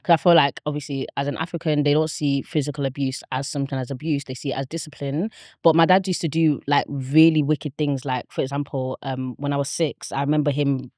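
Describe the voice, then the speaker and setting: A woman's voice: low voice, steady tone, brisk, a little mellow, no echo, studio quality. A woman in her twenties with a Manchester accent, talking openly and casually as an interview guest, with frequent fillers. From Manchester, England. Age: 20s. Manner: open and candid, chatty with frequent fillers.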